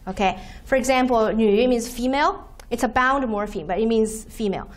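A woman whose first language is Chinese, giving a university lecture from a podium and explaining terms slowly with many "okay"s. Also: a little high. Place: lecture room, standing at podium by projector screen.

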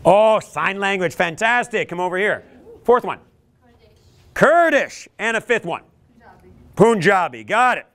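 A middle-aged man from Canada leads a room of students, upbeat and animated, with sudden loud calls to the crowd. His voice sits a little high. It is picked up by a camera across a large classroom. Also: normal speed. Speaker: leadership trainer. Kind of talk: energetic workshop presentation. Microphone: far camera.

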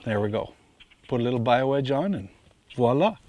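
A man's voice: mid-range voice, expressive, moderate pace, darkish tone, a little hiss or hum. Easygoing man fishing from a boat. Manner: easygoing, chuckles, talks to the fish. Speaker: tournament bass angler. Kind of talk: casual on-water commentary. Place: outdoors on a bass boat on a lake.

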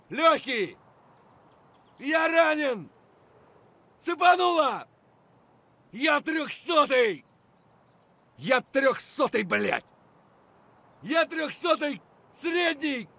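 An actor playing an aggressive, foul-mouthed Russian soldier barking urgent, coarse shouts in a military shooter game. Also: male voice, extremely high pitch, lively intonation, extremely slow, vocal fry, long pauses, no echo, exaggerated voice. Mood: wounded, agitated.

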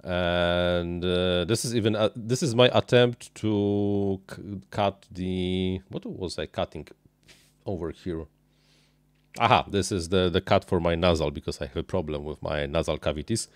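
A man in his thirties with a nasal voice, talking in a halting, improvised way while building at a home workbench into a close dynamic mic. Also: sits low, no echo. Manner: halting, many uhs and restarts, non-native phrasing. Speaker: RC hobby streamer building a model plane. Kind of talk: improvised live-stream chat while building. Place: small home workshop desk, indoors. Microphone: dynamic microphone on boom arm, headphones.

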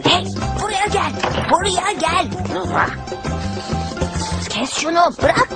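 A woman playing an excitable, stubborn young boy in a dubbed cartoon, given to exclaiming and muttering to himself. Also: extremely high pitch, takes it slowly. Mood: bossy, annoyed. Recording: music behind the voice.

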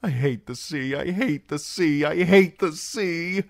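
A narrator voicing a frightened, anxious young man who pleads in a high voice. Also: sing-song, slow, full character voice. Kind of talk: audiobook character voice.

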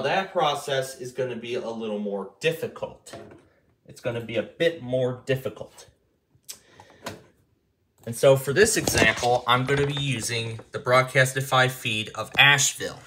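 A man's voice: medium pitch, sharp tone, a little hiss or hum. A man giving a casual step-by-step tutorial, often repeating and rephrasing himself as he explains. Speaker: tutorial host. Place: indoor room at a table.

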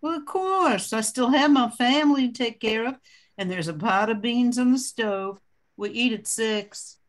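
A woman's voice: a little high, a little slow, natural voice. An older woman playing a homely, hospitable widowed grandmother in an amateur radio play. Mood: homely, chatty.

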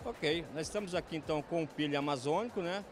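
An older Brazilian man, a technical consultant, explaining a product at a trade fair stand in a steady, instructive way, often adding "né", into a reporter's handheld mic. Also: on the high side, fast talker, music in the background. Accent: Brazilian Portuguese. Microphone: handheld mic held by reporter.

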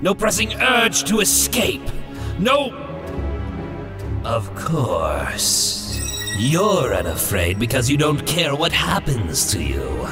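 A man's voice: mid-range voice, wildly swooping pitch, takes it slowly, over music. A man playing an urbane, arrogant, soul-eating bogeyman demon in a comic dub, speaking formally and imperiously. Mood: probing, imperious. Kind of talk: comic dub villain acting.

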